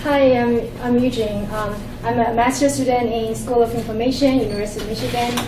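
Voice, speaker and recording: A woman's voice: on the high side, fairly even intonation, a crowd in the background. Earnest young woman with a Chinese accent. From Shanghai, China. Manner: earnest, halting with restarts. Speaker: conference presenter. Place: conference room. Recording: echoey room from far off with hum.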